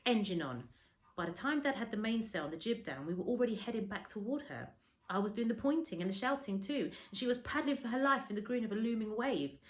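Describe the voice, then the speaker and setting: A woman teacher reading a children's storybook aloud with an expressive, dramatic storytelling delivery. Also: medium pitch, reverberant. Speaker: schoolteacher.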